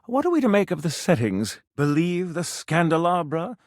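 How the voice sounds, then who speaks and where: Flamboyant food critic, video game character, grandiloquent, romantic, refined diction, highly melodic intonation, dry studio sound. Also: male voice, very animated, moderate pace, no echo. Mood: fussy, eager. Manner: florid, grandiloquent, theatrical, savoring words. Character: flamboyant, pompous gourmet food critic, romantic, theatrical, a grown man.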